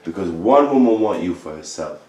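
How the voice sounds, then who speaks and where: A man's voice: low voice, animated, fairly quick, mellow. A man in his late thirties talking in an insistent, lecturing way in a casual group discussion, pressing his point on the others. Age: 30s. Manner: insistent, lecturing, keeps saying listen to me and trust me. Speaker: discussion participant. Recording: echoey room.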